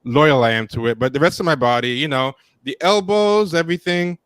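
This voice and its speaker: A man's voice: fairly high pitch, a little fast, fairly bright tone, smooth, no echo. Laid-back man laughing at himself on a call. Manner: self-deprecating, laughs often, trails off with fillers. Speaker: podcast co-host. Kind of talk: casual chat on a livestream. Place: home room with plants and posters. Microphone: studio mic with headset, on a video call.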